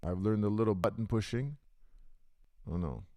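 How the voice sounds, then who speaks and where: A man hosting a casual livestream podcast through a deep robotic voice filter, chatting with viewers and calling them guys. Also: normal speed, a little mellow, no room sound, studio quality. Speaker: podcast host. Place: Canada.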